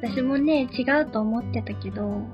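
A woman's voice: a little high, normal speed, close to an everyday voice. A woman playing a coy teenage girl on a festival date in an amateur radio drama. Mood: shy. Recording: dull lo-fi sound, guitar music behind.

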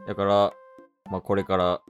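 A man's voice: sits low, completely flat delivery, moderate pace, lo-fi sound, faint music behind. A man with a Kansai accent hosting with casual comic banter, throwing out teasing retorts and often laughing. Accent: Kansai Japanese. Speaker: podcast host leading improvised drama.

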